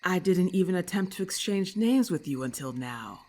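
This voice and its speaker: A woman's voice: on the low side, expressive, normal speed, warm tone, close to an everyday voice. A woman voicing a haughty, irritable lamia in an ASMR roleplay, with hissing, imperious and formal speech, scornful and menacing. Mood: disdainful.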